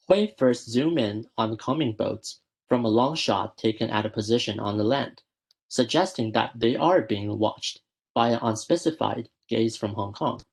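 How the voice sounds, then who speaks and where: A young man presenting a prepared academic paper at a student research school, reading in a measured way and often correcting himself mid-word. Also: mid-range voice, creaky, a little mellow, breathy edge, rough recording. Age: young adult. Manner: measured, with frequent self-corrections.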